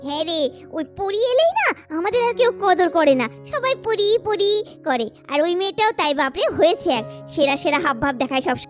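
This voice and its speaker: A woman's voice: very high voice, animated, a little fast, faint music behind. A woman voicing a little schoolgirl doll in a children's cartoon, chatty and bickering in a childish, colloquial way. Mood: gossipy, catty. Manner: childish, colloquial scolding and teasing.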